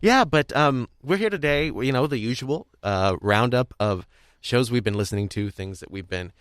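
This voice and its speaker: A young man casually hosting a podcast, talking in a rambling, halting way with frequent restarts. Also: medium pitch, animated, fairly bright tone, clear, resonant tone, no room sound, clean studio sound. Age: young adult. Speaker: podcast host.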